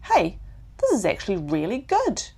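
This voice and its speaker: A woman voicing a timid, childlike little boy koala in a bedtime story, squeaky and hesitant. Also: huge pitch swings, moderate pace, warm tone, slightly rough, full character voice. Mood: relieved.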